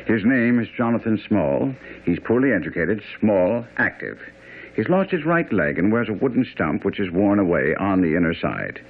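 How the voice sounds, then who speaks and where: An actor playing a brilliant, self-assured detective, precise and condescending, in a radio mystery drama. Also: male voice, on the low side, sing-song, normal speed. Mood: confident. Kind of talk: old-time radio drama acting. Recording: muffled, narrow-band radio broadcast.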